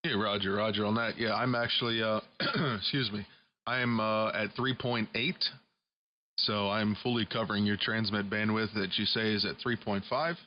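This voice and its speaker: A man with a fairly low voice talks casually as an amateur radio operator. His processed speech arrives over a single-sideband link. The sound is somewhat dull and limited in bandwidth. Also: fairly even intonation, moderate pace. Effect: single-sideband radio transmission with processed audio. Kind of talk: casual ham radio chat. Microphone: studio broadcast microphone. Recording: dull, band-limited shortwave radio audio.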